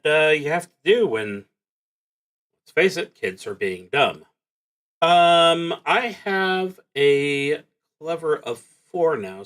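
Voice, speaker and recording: A man's voice: mid-range voice, fairly bright tone. A man live-streaming a solo tabletop roleplaying game, reading prompts and thinking aloud as he plays.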